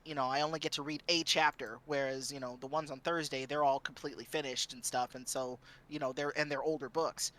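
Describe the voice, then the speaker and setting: A man chatting casually as a streamer on a panel, acting out reactions in an animated way. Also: mid-range voice, brisk, hardly any pauses, poor audio.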